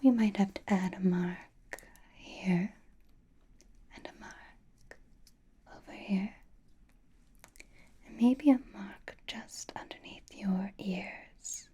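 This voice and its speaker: Breathy whispering vampire played by a woman. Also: mid-range voice. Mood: playful, reassuring. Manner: intimate, slow whispering with sighs and soft hums. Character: flirtatious, possessive vampire companion, teasing and reassuring. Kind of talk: whispered ASMR roleplay.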